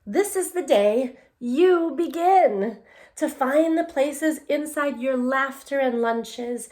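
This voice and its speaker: A woman with a fairly high voice speaks very slowly, as a teacher does with young children. She phrases things with care and emphasis, encouraging her listeners and talking to them directly. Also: a little mellow. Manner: encouraging, asks questions and answers them. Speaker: elementary teacher reading a picture book aloud.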